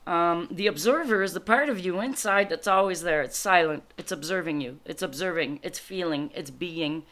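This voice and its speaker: A woman with a fairly low voice, a native French speaker, talks through a spiritual card reading. She moves between reading aloud and informal commentary, reflecting as she goes in short, halting phrases. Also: fairly bright tone.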